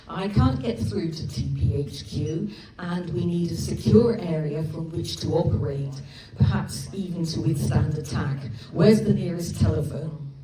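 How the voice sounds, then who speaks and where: Clipped commanding male team leader read by a woman with a Gloucestershire accent, slow, audience in an echoey hall. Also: on the low side, crowd noise, close to an everyday voice. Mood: gruff. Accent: Gloucestershire English. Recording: echoey live room from far off with audience.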